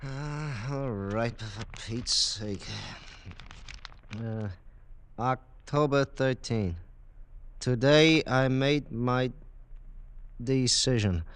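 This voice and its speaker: A man's voice: mid-range voice, very slow, long pauses, fairly bright tone, a little hiss or hum. A man playing a tired, easygoing working-class husband in a 1940s radio drama, colloquial and a little exasperated. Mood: reluctant, reading. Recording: vintage radio recording.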